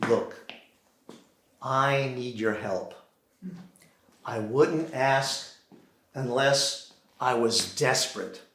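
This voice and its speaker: An American man performing a theatrical solo monologue, deliberately acting out one side of a phone call on a theater stage. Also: mid-range voice, a bit bright. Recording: roomy, echoing live theater sound.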